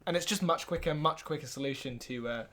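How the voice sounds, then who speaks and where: A man playing an excitable, gullible superfan co-host on a comedy podcast, gushing with naive earnestness. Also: a little high, fast talker, lightly acted. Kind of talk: mock fan podcast banter.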